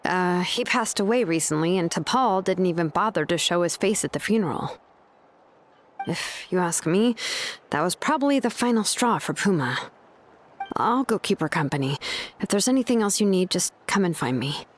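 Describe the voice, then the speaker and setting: A woman's voice: on the low side, lively intonation, moderate pace, a little hiss or hum, natural voice. Woman acting an easygoing, wry tribeswoman. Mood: sober. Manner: casual, wry, explanatory. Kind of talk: video game voice acting.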